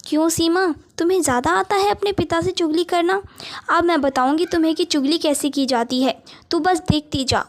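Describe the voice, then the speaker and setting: A woman's voice: very high-pitched, normal speed, pitched above the performer's own voice. A woman voicing a harsh, scolding mother in a spoken storybook. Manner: sharp, scolding, later pleading. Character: mean middle-aged mother, harsh and scolding.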